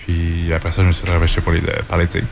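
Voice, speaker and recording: A man in his thirties with a Québécois accent talks through his hockey years with an easy, unhurried rhythm, often pausing on fillers. His voice sits low. A faint noise runs under the recording. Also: gravelly. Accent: Québécois French. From Quebec. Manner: relaxed storytelling, many fillers and restarts. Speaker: former hockey goaltender, guest. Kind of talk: casual interview.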